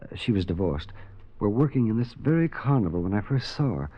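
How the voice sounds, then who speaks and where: A haunted carnival man, halting and tormented. His voice sits low. The sound is dull, like an old radio broadcast. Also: big pitch swings. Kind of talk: 1940s radio acting.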